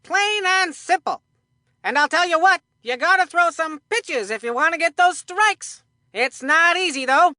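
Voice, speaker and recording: A woman's voice: high-pitched, bright, forceful tone, no echo, clean studio sound. A woman voicing a folksy, confident boy baseball player in a cartoon video game, chatty and full of old-fashioned exclamations. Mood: boastful, chatty. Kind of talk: cartoon video game voicelines, sports banter.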